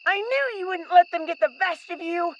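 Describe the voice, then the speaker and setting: A woman's voice: extremely high pitch, normal speed, highs cut off, slight background noise. A woman playing a plucky, loyal little boy castaway in a radio play. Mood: bold, loyal.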